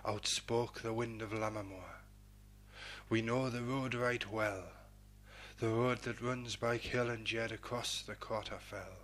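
A man hosting a poetry programme and reading verse in a measured, dramatic, declamatory manner with a husky voice. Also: fairly low pitch, a bit bright. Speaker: poetry programme host and reader.

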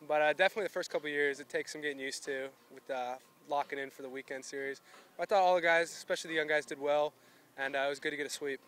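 A young man, a college baseball pitcher, giving easygoing answers in a postgame interview outdoors. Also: fairly even intonation, very fast, a bit bright, slight background noise. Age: young adult. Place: outdoors beside a baseball field.

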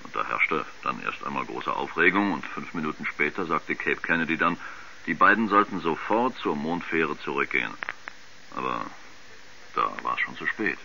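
An actor playing an irreverent mission radio operator, answering bluntly and colloquially in an old radio play. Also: male voice, rumbling low, animated, moderate pace, gravelly, bright, forceful tone, heavily acted. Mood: blunt, matter-of-fact. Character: plain-spoken, irreverent male mission radio operator being interviewed. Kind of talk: 1970s German radio drama acting. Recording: dull vintage radio recording, faint background noise.

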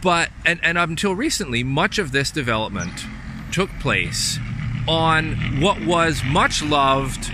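A man's voice: a little high, lively intonation. Man explaining on a noisy street. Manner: measured, explanatory, with occasional restarts. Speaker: urban planning professor. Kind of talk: walking tour explanation. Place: outdoors on a city street. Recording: outdoor street with traffic noise.

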